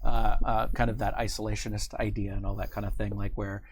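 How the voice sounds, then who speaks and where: A man hosting a show with casual, chatty interview talk, rambling and halting, often poking fun at himself. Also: fairly low pitch, little pitch movement, a little mellow, slight background noise. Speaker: show host. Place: studio.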